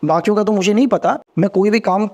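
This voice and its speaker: A man's voice: high-pitched, warm tone, dull audio. A middle-aged man, a former agent and podcast guest, telling his story in a casual interview, earnest and repeating phrases as he explains. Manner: rapid, earnest, repeats phrases, rising energy when explaining.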